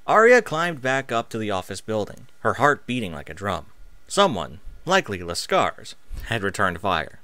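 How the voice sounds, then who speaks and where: A man's voice: fairly low pitch, very animated, normal speed, pushed and bright, no room sound. A man narrating an action story as a scripted, dramatic reading with emphatic phrasing. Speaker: narrator reading fan fiction aloud.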